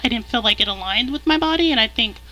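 A man with a high countertenor voice, a podcast guest talking candidly and rambling in casual chat. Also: animated, fast talker, pushed and bright, low-quality recording.

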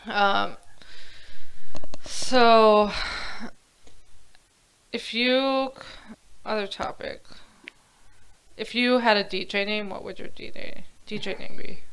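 A woman co-hosting a casual podcast, chatty and quick to laugh, often trailing off mid-sentence, recorded at home on lo-fi gear. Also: mid-range voice, fairly even intonation, a bit bright, smooth. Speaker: podcast co-host. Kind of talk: casual chat.

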